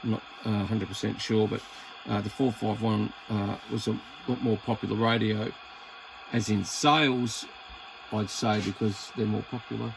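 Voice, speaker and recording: A man's voice: fairly low pitch, steady tone, normal speed, gravelly, slight background noise, low-quality recording. A man with an Australian accent chatting casually and hesitantly as he presents a hobby video, rambling in a laid-back way. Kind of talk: casual chat while demonstrating equipment.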